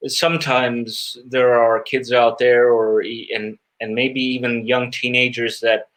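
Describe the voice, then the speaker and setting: A man answering interview questions as a guest magician, earnest and reflective, often pausing and repeating words as he searches for phrasing. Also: medium pitch, flat delivery, fairly bright tone, rough recording.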